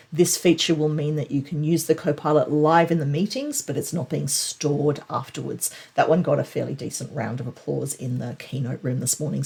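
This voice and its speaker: Low-pitched, slightly husky woman presenter. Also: a little mellow. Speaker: tech presenter. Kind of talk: explanatory product news recap to camera.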